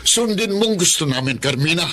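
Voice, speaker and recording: Domineering, high-voiced angry father. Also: expressive, fairly quick, a little hiss or hum. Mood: threatening, angry. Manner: forceful, commanding. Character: domineering, enraged father threatening violence. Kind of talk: Tagalog radio drama acting.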